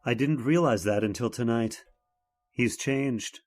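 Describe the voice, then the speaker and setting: A man's voice: medium pitch, measured pace, natural voice. An actor playing an earnest young man who tells his own horror tale in old-time radio style. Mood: polite, concerned. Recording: radio drama with loud music behind.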